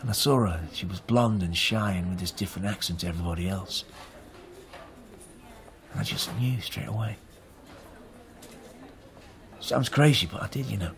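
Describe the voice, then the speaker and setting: An actor playing a rueful, hesitant middle-aged husband in a naturalistic radio drama. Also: male voice, deep voice, normal speed, gravelly, pauses often. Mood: wistful, confiding. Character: rueful working man whose wife left him.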